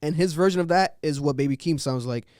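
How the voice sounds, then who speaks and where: Podcast co-host, male, fairly high voice, smooth tone; loose, joking, animated talk. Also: no echo, studio quality. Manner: animated, laughing, quick asides. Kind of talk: casual podcast chat.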